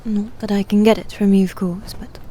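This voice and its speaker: A woman playing a proud, guarded demon knight who is secretly fond, in an intimate, hushed and breathy ASMR roleplay style with haughty, halting delivery. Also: medium pitch, fairly even intonation, slight background noise, close to an everyday voice. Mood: haughty, teasing. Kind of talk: ASMR roleplay, intimate half-whispered acting.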